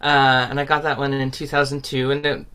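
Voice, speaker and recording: A woman's voice: very deep voice, level tone, fairly quick, a bit bright, clean tone. A woman from the United States whose first language is Ukrainian, answering interview questions animatedly and laughing often. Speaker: guest, singer.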